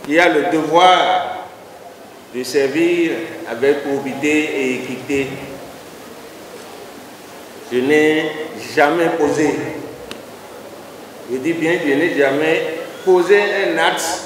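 A middle-aged man, a city mayor and party leader, giving a slow, deliberate political statement into reporters' handheld microphones at a large rally hall. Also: fairly high pitch, recorded from a distance. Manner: slow, deliberate, emphatic with repetitions. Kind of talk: formal political statement to press. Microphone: handheld reporter microphones held close. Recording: echoey hall, noisy crowd ambience.

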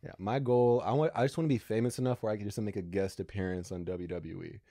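Rambling low-voiced young man. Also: fairly even intonation, dark tone, no room sound, studio quality. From Alaska. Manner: rambling, halting, laughs often. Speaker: guest comedian. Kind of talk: casual podcast chat. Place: small studio with brick backdrop. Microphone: desk mic on boom arm.

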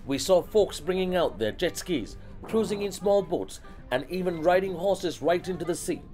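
A man delivers scripted voice-over narration for a family travel vlog in a warm, mellow tone. His voice sits slightly high, and his delivery is polished and even. Soft music plays faintly underneath. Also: normal speed.

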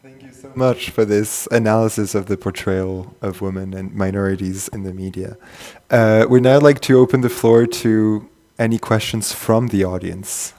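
A man's voice: on the low side, fairly even intonation, moderate pace, mellow. A young man moderating a panel event in formal, polite phrasing. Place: large hall, panel stage.

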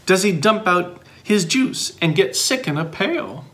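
A man's voice: high-pitched, expressive, a little slow, fairly bright tone. A middle-aged man reading a children's picture book aloud expressively, with sing-song questions and playful asides. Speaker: volunteer storybook reader.

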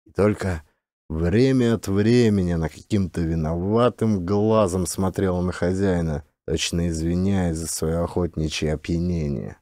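Mellow low-voiced male audiobook narrator. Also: normal speed, no room sound, studio quality. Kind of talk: literary audiobook narration of a classic short story.

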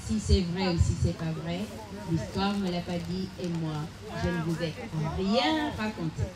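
A woman storyteller spins a folk tale for a live audience at an outdoor festival. She speaks slowly, with long dramatic pauses. Crowd chatter fills the background. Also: on the low side. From Cape Verde, raised in Senegal. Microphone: handheld mic.

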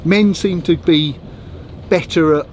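A man instructing a family at the helm of a boat, calm, direct and practical. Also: a little high, slow pace, a little hiss or hum. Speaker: sailing instructor. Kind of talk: instructing on deck. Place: outdoors on a boat in a marina.

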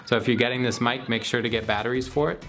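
A young man talks through a product review in a relaxed, conversational way. His voice sits at a middling pitch. He is recorded on a camera mic in a small home room, with music in the background. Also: fast. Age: young adult. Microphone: camera microphone at about arm's length or a little more.

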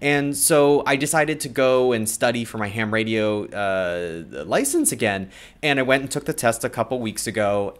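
American man chatting casually. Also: fairly low pitch. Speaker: vlogger, new amateur radio operator. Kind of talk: casual talk to camera. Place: small indoor room at home.

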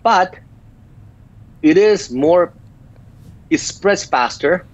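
A man in his fifties from South Korea with a small Korean accent, speaking in halting sentences full of filler pauses as a guest doctor in a remote interview. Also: sits high, expressive. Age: 50s. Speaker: guest physician. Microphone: video call. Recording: dull lo-fi video call audio.

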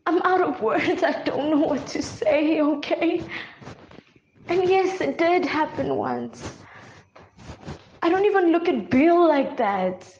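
A woman playing a guilty twin sister in a melodrama, halting and apologetic as she pleads for forgiveness. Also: sits high, animated, a little mellow, heavily acted. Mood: pleading. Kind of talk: melodramatic audio-drama acting. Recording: echoey room, slightly muffled.

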